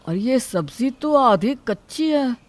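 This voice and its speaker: Guy doing a young husband in a moral story, fussy about food but caring, expressive questions. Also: very high voice, slow, slight background noise, heavily acted. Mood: displeased. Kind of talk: acted dialogue in a Hindi moral story.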